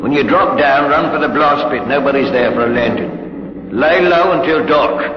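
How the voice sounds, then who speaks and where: An actor playing a sly, bullying Cockney spaceship mate in a 1950s radio drama, mocking and slangy with mock politeness. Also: male voice, mid-range voice, moderate pace, lightly acted. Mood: businesslike, oily. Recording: noisy, dull old 1950s radio recording.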